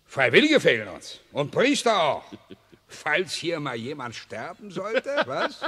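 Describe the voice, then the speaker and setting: Ein Mann spielt in einem alten Hörspiel einen sadistischen, spöttischen SS-Offizier, der höhnt und Befehle bellt. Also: male voice, a little high, huge pitch swings, slow, a bit bright, breathy edge, full character voice. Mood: mocking. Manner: sneering, taunting, barking orders. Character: sadistic mocking male SS officer. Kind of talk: 1960s German radio play acting. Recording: reverberant old mono radio play.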